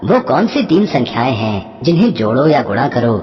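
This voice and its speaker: A man's voice: mid-range voice, very animated, moderate pace, lo-fi sound, lightly acted. A lordly heron prince from a fairy tale, voiced by a man, with a swooping delivery in long reverb. He is boastful, clever and demanding. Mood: testing.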